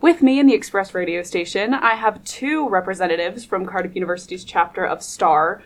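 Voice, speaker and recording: A woman's voice: mid-range voice, fairly quick. A young woman hosting a student radio interview in a studio, upbeat and laughing often. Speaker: radio host.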